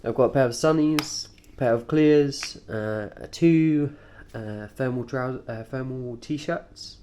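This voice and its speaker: A man with a nasal voice, congested from a cold, talking through his cycling kit informally and haltingly, in short offhand phrases. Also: mid-range voice, dark tone, a little hiss or hum. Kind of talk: informal vlog, kit walkthrough.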